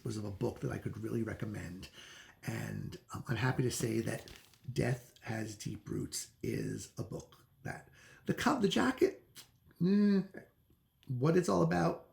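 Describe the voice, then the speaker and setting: A man reviewing books in a casual, unscripted way, enthusiastic and opinionated, often pausing to search for words. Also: on the low side, expressive, gravelly, darkish tone. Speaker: book reviewer.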